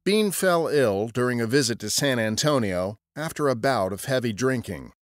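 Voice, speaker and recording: A man's voice: medium pitch, lively intonation, moderate pace, no room sound, clean studio sound. A man narrating a scripted history podcast as a storyteller.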